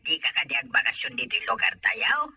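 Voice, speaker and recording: A man's voice: medium pitch, big pitch swings, fast, bright, forceful tone, breathy edge, no echo, heavily acted. A man voicing a doting, wheedling older village father in an amateur radio-style drama. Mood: affectionate longing.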